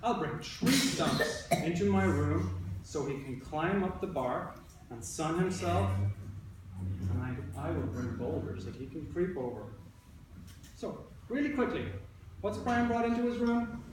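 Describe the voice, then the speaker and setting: Higher-voiced male teacher in an echoey room. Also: lively intonation, a little slow. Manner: instructive, repeats and rephrases, questions listeners. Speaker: elementary school teacher. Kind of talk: interactive read-aloud to a class of young children. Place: indoor classroom. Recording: roomy, echoey, distant mic with background noise.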